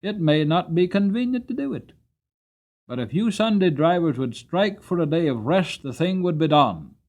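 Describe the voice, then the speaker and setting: A man performs an upright male cab driver from a storybook. He speaks with firm, kindly conviction and drives each moral point home. His pitch rises and swings with the emphasis. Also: expressive, moderate pace, a little mellow. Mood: earnest, insistent. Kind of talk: dramatic storybook character reading.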